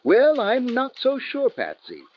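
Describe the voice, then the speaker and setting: An actor playing a boozy, genial male sidekick in an old-time radio detective drama, with a drawn-out delivery. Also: very high voice, huge pitch swings, highs cut off, full character voice. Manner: drawn-out, wildly swooping delivery.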